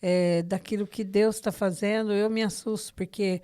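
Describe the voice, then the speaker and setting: Brazilian woman hosting, clear smooth voice. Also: mid-range voice, steady tone, normal speed, dark tone. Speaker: podcast host. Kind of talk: conversational podcast introduction of a guest. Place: living room at home.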